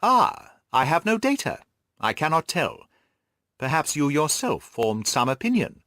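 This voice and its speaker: A man voicing a Victorian gentleman detective in an audiobook, measured and analytical in manner. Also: on the high side, big pitch swings, no echo, clean studio sound. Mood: measured, cautious.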